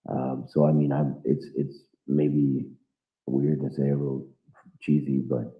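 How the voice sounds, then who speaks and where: A man's voice: rumbling low, little pitch movement, a little slow. A man from the United States who volunteers as a fighter in Ukraine, talking earnestly and bluntly in a live audio chat, with the odd curse word. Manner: earnest, philosophical, blunt with occasional swearing. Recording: echoey, dull lo-fi online audio room.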